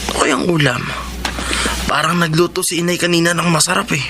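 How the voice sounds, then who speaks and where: An actor playing a meek, downtrodden young stepson in a radio drama, speaking hesitantly and politely. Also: male voice, a little high, fairly even intonation, a little fast, loud music behind the voice, close to an everyday voice. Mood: weary, timid.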